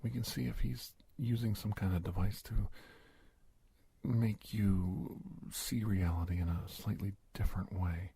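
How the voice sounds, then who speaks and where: A man playing a calm, reassuring government agent in a soft-spoken ASMR roleplay, breathy and gravelly, hesitant and gentle, often dropping toward a whisper. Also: on the low side, a little slow, full character voice. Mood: gently persuasive.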